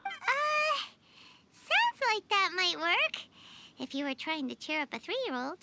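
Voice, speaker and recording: An actress voicing a tiny, cheeky, childlike fairy companion with a squeaky voice in an anime video game. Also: female voice, sing-song, slow pace, bright, forceful tone, heavily acted. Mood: sarcastic. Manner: sassy, refers to self by name.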